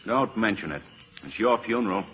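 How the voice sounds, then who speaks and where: A man's voice: fairly low pitch, expressive, a little fast, over loud music, natural voice. An actor playing a wry, patient American lawman with folksy understatement in a 1940s radio drama. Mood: dry resignation. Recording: reverberant old radio broadcast.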